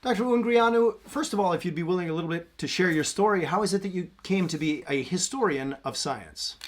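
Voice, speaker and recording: A male interviewer introduces his guest and asks questions in a polite, formal way. His voice sits a little higher than most men's. The delivery is measured and courteous. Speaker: interviewer, host.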